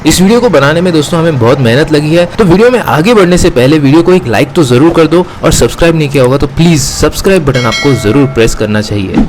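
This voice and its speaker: An Indian man with a slightly high-pitched voice hosts a product review. He explains each item to his viewers and switches into English phrases now and then. There is some light ambient noise behind him. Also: a little mellow, rough recording. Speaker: host of a gadget review channel. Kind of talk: unboxing and product review narration, addressing viewers.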